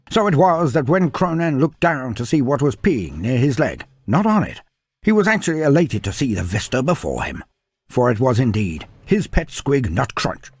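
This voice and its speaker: A man's voice: medium pitch, big pitch swings, moderate pace, breathy edge. A man narrating a humorous story in a dry, wry manner, breaking off into playful sidebars. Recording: faint music behind narration.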